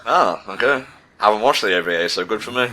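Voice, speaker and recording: A man's voice: fairly low pitch, lively intonation, fast talker, sharp tone, slight background noise. A man doing joking game commentary with dramatic readings, in a sarcastic, profane manner. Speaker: playthrough commentator.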